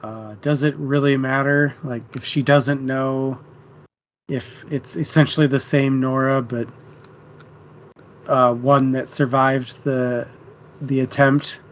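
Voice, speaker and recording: Guy with mid-pitched voice hosting a book stream, chatty, muffled audio, bit of background noise. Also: flat delivery, slow pace. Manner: informal, addresses the live chat. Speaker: book club stream host. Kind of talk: casual book discussion. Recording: dull lo-fi stream, faint noise.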